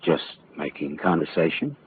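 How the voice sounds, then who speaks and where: An actor playing a wisecracking, tough but decent private detective in 1940s radio noir style, sardonic and clipped. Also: male voice, extremely low pitch, very animated, moderate pace, breathy edge, dry recording, heavily acted. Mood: wary. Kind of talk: 1940s radio noir acting and first-person narration. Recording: muffled old radio recording with music.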